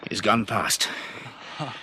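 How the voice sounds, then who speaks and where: An actor playing a resolute sighted survivor in a radio drama, terse and commanding. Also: male voice, on the low side, slow, bright, forceful tone, heavily acted. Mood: watchful, urgent. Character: sighted male survivor, urgent, resolute leader. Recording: noisy old radio drama recording.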